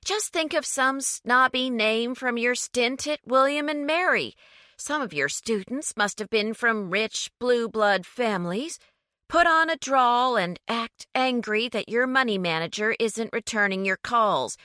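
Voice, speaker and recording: Brief: insistent, urgent young woman, raised pitch and lively, by the woman narrator. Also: a little slow, smooth, no echo, studio quality. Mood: bossy. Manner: urgent, pleading, bossy.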